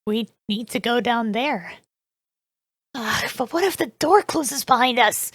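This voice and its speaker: Young queen played by a woman, fairly high, dry. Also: expressive, a little slow, a bit bright, breathy edge, no echo, heavily acted. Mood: wary. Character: young queen, decisive but wary, exploring her castle. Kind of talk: fan dub voice acting.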